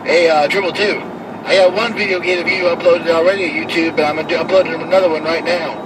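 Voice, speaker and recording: High-pitched American man, very noisy recording. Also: steady tone, quick pace. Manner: joking, repeats his phrases. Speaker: CB radio operator. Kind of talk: casual CB radio banter. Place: inside a vehicle. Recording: loud radio and vehicle noise, distant mic.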